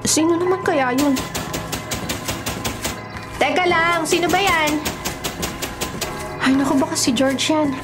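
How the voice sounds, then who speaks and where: High female voice, older sister, chatty, slightly bossy, dismissive, radio drama, music behind. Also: slow, long pauses. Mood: mildly annoyed. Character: confident, dismissive older sister of a young boy. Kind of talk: radio horror drama acting. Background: background music.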